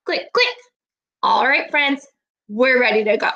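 A woman's voice: fairly high pitch, lively intonation, a little slow, creaky, bright, forceful tone, muffled recording. A woman in her twenties, an elementary teacher giving an encouraging, instructional read-aloud lesson to young children over a webcam. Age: 20s. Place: small indoor room at home. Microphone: webcam or laptop microphone, video call recording.

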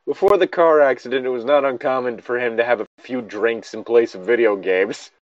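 A man's voice: mid-range voice, brisk, no echo, highs cut off. A man giving casual, sweary and sardonic commentary as he reads and reacts to a story. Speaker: host reading and reacting to a horror story.

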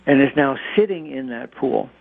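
A man's voice: mid-range voice, expressive, normal speed, creaky. An American man speaking as an expert guest in a radio interview, explaining things informally with stammering, hesitant starts. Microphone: telephone. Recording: tinny band-limited phone line.

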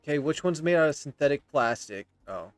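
A man's voice: a little high, expressive, brisk, no echo. Opinionated man commentating, close dry sound. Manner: opinionated, emphatic. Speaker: gamer giving commentary. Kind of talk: casual video game commentary. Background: faint game music.

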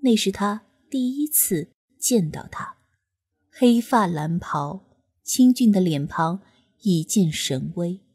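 A woman reading an audiobook in expressive storytelling narration. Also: mid-range voice, takes it slowly, breathy edge. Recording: narration over soft music.

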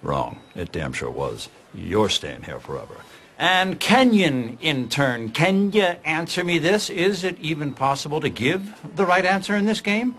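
An actor playing a sarcastic, domineering senior doctor in a sitcom, delivering mocking monologues with biting, theatrical scorn and mock sweetness. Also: male voice, fairly high pitch, big pitch swings, a little slow, fairly bright tone, full character voice. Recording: faint background noise.

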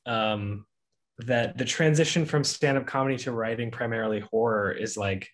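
A man's voice: mid-range voice, normal speed. A man in his thirties casually asking interview questions on a video call, tentative and searching for his words. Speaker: graduate student panelist. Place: bright room at home, on a video call.

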